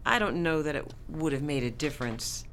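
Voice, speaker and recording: An actress in a radio drama playing a composed, firm yet compassionate hospital staffer, speaking in a measured, formal, consoling way. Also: female voice, low-pitched, animated, fairly bright tone, a little hiss or hum. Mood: gentle, encouraging.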